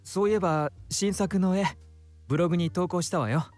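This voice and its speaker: An actor playing a friendly, caring, effeminate young man with soft, feminine phrasing and an expressive lilt. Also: sits high, rapid-fire, soft background music, close to an everyday voice. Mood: friendly, concerned.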